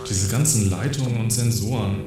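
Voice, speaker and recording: A man's voice: fairly low pitch, moderate pace, natural voice. Man as a hesitant customer, very echoey. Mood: reluctant. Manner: hesitant, doubtful. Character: hesitant, meek male customer. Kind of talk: satirical radio play dialogue. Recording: very echoey, distant, music behind.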